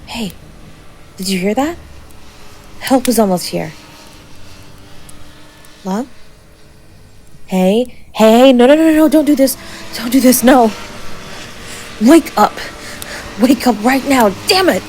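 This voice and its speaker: A woman playing a sharp-tongued but tender young woman who protects a lover, in an intimate acted audio roleplay, bluntly affectionate and halting when emotional. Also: a little high, expressive, a little hiss or hum. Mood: panicked, pleading.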